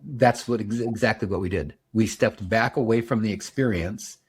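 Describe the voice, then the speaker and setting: Husky older man, reflective host. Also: fairly low pitch. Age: 70s. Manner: reflective, measured storytelling. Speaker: podcast host. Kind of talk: casual podcast conversation.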